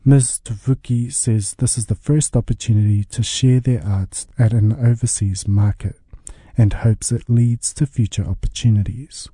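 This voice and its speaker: A man's voice: mid-range voice, steady tone, moderate pace, warm tone, husky, dry recording. A man reading a scripted radio news report as the reporter.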